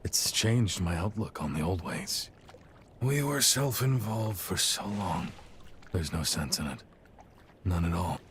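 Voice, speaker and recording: An actor playing an easygoing middle-aged warrior captain and encouraging mentor in a video game cutscene. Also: male voice, fairly low pitch, a little hiss or hum. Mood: reflective.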